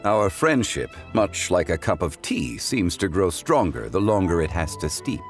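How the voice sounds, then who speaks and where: A composed, learned gentleman with a lowish voice that still moves a lot in pitch. He speaks formally and politely, with faint game music behind him. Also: big pitch swings, full character voice. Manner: formal, measured, courteous. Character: composed, learned male scholar from the funeral parlor. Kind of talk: game voice acting.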